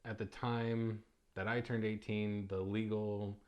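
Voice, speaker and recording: A man's voice: lowish voice, little pitch movement, takes it slowly, darkish tone. A young adult man hosting a casual solo podcast, talking loosely with many ums, uhs and restarts. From United States. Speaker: podcast host.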